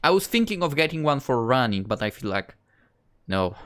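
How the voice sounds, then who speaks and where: Low-pitched woman thinking aloud over a game. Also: very animated, a little fast. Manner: hesitant, thinking aloud with trailing phrases. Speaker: host of a visual novel playthrough. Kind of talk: let's play, reading game text aloud with casual asides.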